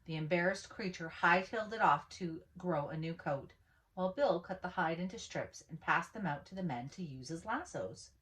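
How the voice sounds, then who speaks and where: A woman reading a picture book aloud as a storyteller, with animated, dramatic emphasis on key words. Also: lowish voice, normal speed, slight background noise, poor audio. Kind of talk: expressive children's story read-aloud.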